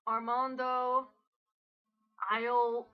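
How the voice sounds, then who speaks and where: A woman's voice: fairly high pitch, flat delivery, extremely slow. A woman hosting a casual, mocking commentary, jokey and exasperated, with frequent swearing. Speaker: host of a dramatic reading commentary. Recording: dull lo-fi home recording.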